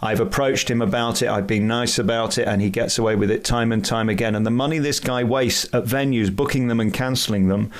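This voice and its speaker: An outspoken British man hosts a radio interview. His pitch is mid-range for a man. He talks conversationally and puts firm emphasis on his points. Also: fairly quick, hardly any pauses. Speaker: interview host.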